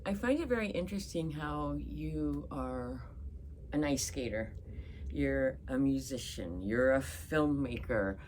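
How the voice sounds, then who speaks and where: A woman's voice: a bass voice, animated, measured pace, darkish tone, a little hiss or hum. A woman hosting an interview, asking friendly, curious questions. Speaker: podcast host.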